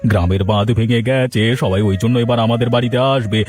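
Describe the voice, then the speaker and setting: Man acting as village landlord, greedy, domineering, gloating, quick delivery, cartoon dub. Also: medium pitch, fairly even intonation, darkish tone, lightly acted. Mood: gleeful, greedy. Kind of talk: dubbed cartoon dialogue.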